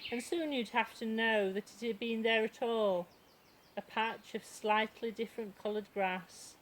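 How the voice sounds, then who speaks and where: Unhurried, higher-voiced woman reading poetry. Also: a little hiss or hum, rough recording. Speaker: poetry reader. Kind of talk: poetry recitation.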